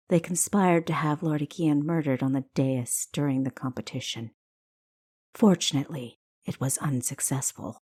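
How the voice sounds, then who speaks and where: Woman reading as a hooded spy lady, low hushed voice, slow and guarded, audiobook style. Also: dry recording, studio quality. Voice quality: deep feminine voice.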